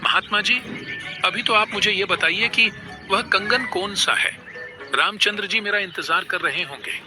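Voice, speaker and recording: A man's voice: sits high, moderate pace, music in the background, natural voice. A man voicing an earnest, devoted monkey-god warrior in a cartoon, speaking respectfully with pleading and exclamations. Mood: impatient pleading. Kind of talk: animated devotional story dialogue.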